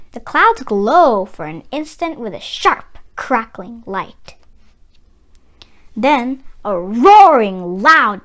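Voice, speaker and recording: A woman reading a children's story aloud with dramatic, expressive delivery and drawn-out words. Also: fairly high pitch. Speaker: children's book reader.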